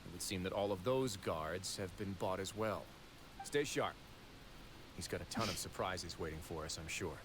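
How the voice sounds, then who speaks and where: A man playing a composed, stern gentleman of authority in a video game, with a formal, measured manner. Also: low voice, background noise, close to an everyday voice. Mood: calm, alert.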